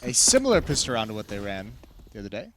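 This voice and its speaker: A male esports caster with an expressive, rising-and-falling voice, mixing wry jokes with play analysis. A faint noise runs under the broadcast. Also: fairly low pitch, fast talker. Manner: wry, chuckling, analytical calls. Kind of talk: casual banter and play-by-play commentary.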